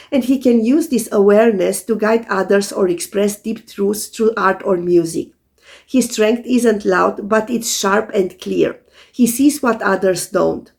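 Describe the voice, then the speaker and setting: Hoarse lady astrologer, gravelly and scratchy, calm steady explaining like a scripted video. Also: medium pitch. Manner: calm, methodical explaining to viewers. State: hoarse from a sore throat.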